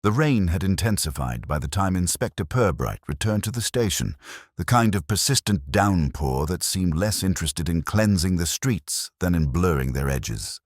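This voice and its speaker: A man narrating a scripted detective mystery in a grave, deliberate, measured literary style that builds suspense. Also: low voice, no room sound, clean studio sound.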